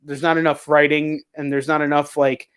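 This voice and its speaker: A man's voice: fairly high pitch, level tone, moderate pace, a bit bright, smooth. A man hosting a casual interview show, talking in a blunt, rambling way with plenty of swearing. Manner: rambling, heavily profane, blunt. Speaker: show host. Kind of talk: casual video chat interview.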